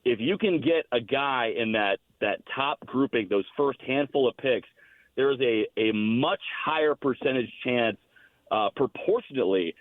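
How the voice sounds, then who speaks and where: An American man, a hockey play-by-play announcer, giving measured, emphatic explanations as a guest analyst in a sports interview. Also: mid-range voice, animated, no room sound. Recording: dull, phone-like lo-fi guest line.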